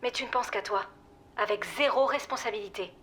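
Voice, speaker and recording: An actress playing a weary, exasperated former partner in audio fiction, blunt, sarcastic and firm. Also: female voice, a little high, fairly quick, a bit bright, lightly acted. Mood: reproachful. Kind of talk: audio fiction drama acting.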